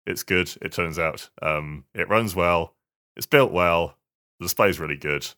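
A man co-hosting a podcast, giving casual tech reviews in a halting, self-correcting way. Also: low voice, pushed and bright, clean tone. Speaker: podcast co-host, games hardware reviewer.

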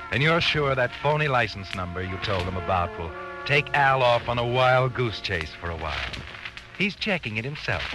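Sinister, swooping old-time radio suspense narrator played by an actor. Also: male voice, on the low side, lightly acted. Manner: insinuating, taunting rhetorical questions. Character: sinister, knowing male narrator needling a guilty man. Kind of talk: 1940s radio suspense narration in second person. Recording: old radio recording with music behind. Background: dramatic music.

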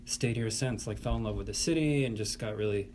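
A man's voice: fairly low pitch, fairly quick, a little mellow, slight background noise. Easygoing man, an artist guest. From Wisconsin, USA. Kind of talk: interview chat.

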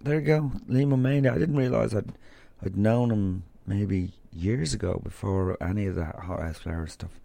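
A man's voice: lowish voice, moderate pace, gravelly, few pauses, mellow, dry recording. An Irish man hosting a podcast, chatting loosely and rambling through stories before interviewing a guest. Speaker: podcast host. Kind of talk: casual rambling monologue and interview.

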